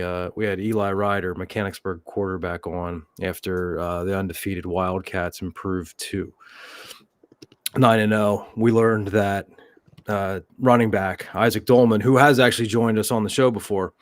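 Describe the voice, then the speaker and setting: A young man co-hosting a live high school football show, talking in a loose, rambling way with many fillers and losing his voice. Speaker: co-host. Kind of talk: live sports show chat.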